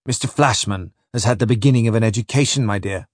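A man's voice: lowish voice, quick pace, dry recording, studio quality, natural voice. A man voicing a pompous, scholarly, bad-tempered ship's captain in an audiobook story, pedantic and declamatory. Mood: condescending, pedantic. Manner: pedantic, declamatory, quoting Latin.

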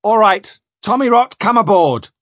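A man's voice: mid-range voice, very animated, unhurried, vocal fry, fairly bright tone, no echo, studio quality, exaggerated voice. A man voicing a bad-tempered, domineering sea captain in an audiobook, growling and barking his lines. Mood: gruff, scornful. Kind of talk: dramatised audiobook dialogue.

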